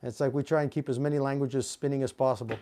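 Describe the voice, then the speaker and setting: A man's voice: medium pitch, fairly even intonation, quick pace, dark tone. A man from Canada, co-hosting a live car show with friendly, brief interjections. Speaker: co-host. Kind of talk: casual chat.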